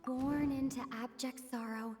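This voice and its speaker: Solemn, foreboding game herald, high-pitched, declamatory delivery over faint music. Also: female voice, fairly even intonation, unhurried, darkish tone. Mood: ominous, grand. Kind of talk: dramatic scripted game dialogue.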